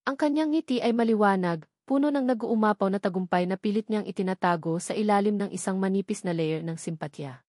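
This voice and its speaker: A woman's voice: medium pitch, a little fast, darkish tone, no room sound. Female narrator, Filipino accent, mid-range voice, dramatic scripted storytelling, very dry recording.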